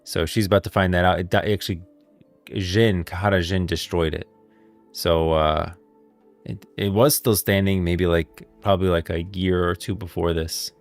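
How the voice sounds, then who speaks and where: Male streamer, very low voice, casual and rambling lore commentary over faint background music. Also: steady tone. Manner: rambling, thinking aloud, pauses to read out lines.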